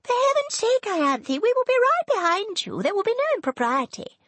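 A woman's voice: very high-pitched, big pitch swings, moderate pace, a bit bright, no echo, voice pushed up, heavily acted. A woman voicing a petulant, melodramatic young society lady who wails and gives orders. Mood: imperious. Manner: whiny, imperious, melodramatic.

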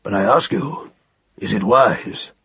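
A man voicing a worldly, avuncular gentleman rabbit with deep tones and a courteous, measured manner. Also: vocal fry, slightly rough, dull audio, full character voice. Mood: genial.

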